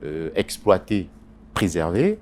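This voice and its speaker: Very deep-voiced hesitant man. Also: huge pitch swings, darkish tone, a little hiss or hum. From Congo Basin. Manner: halting, many hesitations and repeated words. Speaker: journalist and editorial writer. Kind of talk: interview answers.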